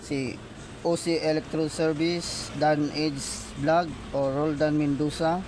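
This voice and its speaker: Very noisy recording with vehicles behind a fairly high-voiced man. He talks casually to viewers like a hands-on repair vlogger, pausing and repeating himself as he goes. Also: flat delivery. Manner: halting, repeats himself, addresses viewers often. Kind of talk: casual hands-on gadget testing vlog.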